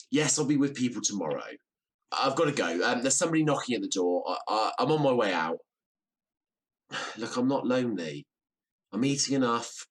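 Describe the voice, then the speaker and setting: An actor playing a lonely, defensive grown son in a naturalistic audio drama, husky and halting. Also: male voice, lowish voice. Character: Simon, lonely, defensive son fobbing off his mother.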